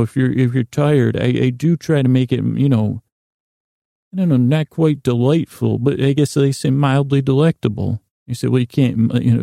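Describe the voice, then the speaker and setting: An American man hosting a bedtime podcast with a gravelly voice, rambling in a lighthearted, gently silly way and often stumbling over and correcting his words. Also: mid-range voice, no room sound, studio quality. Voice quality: gravelly, creaky. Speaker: podcast host. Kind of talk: rambling bedtime monologue to lull listeners to sleep.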